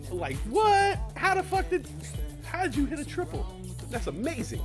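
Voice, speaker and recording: A man's voice: wildly swooping pitch, normal speed, music in the background. A young man, hosting a live stream in a loose, profane, rambling chat full of jokes. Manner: profane, rambling, jokey, swings from low mumbles to loud shouts. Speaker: livestream host.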